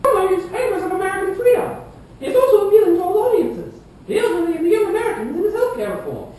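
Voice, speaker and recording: Woman doing an eager analytical pundit, super high voice, talks fast, echoey puppet show. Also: big pitch swings, mellow. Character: female debate co-moderator, eager analytical pundit. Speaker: moderator. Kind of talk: scripted student puppet show commentary. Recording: echoey room, distant mic, background noise.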